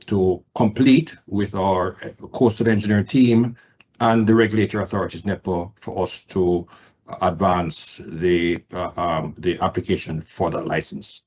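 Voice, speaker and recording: A man speaking as a company executive on an earnings call, answering in formal, hesitant, measured phrasing. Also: deep voice, fairly even intonation, a little mellow.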